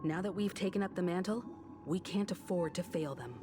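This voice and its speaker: A woman's voice: fairly low pitch, fairly quick, natural voice. An actress playing a composed, determined woman who leads her allies in a game, earnest in delivery, with music behind. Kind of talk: dramatic game voice acting.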